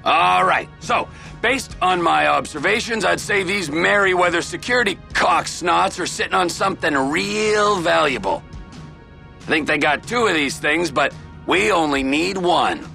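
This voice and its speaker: An actor playing a volatile, crude middle-aged male criminal in a video game, mocking and domineering, swinging from mock-gentle to barking. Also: high-pitched, unhurried, creaky, faint music behind, heavily acted. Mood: businesslike scheming. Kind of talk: video game cutscene acting.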